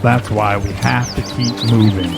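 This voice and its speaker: An actor playing a steady, determined man guiding a group, practical and earnest, in an audio drama. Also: fairly low pitch, measured pace, gravelly. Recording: music and birdsong behind.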